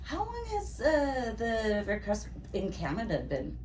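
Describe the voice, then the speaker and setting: A woman hosting an interview show, chatty and animated and quick to laugh. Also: fairly high pitch, far from the mic, slight background noise. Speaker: show host. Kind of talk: casual interview chat.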